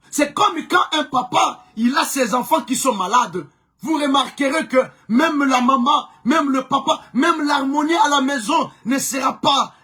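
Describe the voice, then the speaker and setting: A man preaching a sermon with a Congolese French accent, whose first language is Chokwe. He preaches fervently, often shouting and repeating phrases for emphasis. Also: extremely high pitch. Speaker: pastor. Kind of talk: live-streamed preaching sermon.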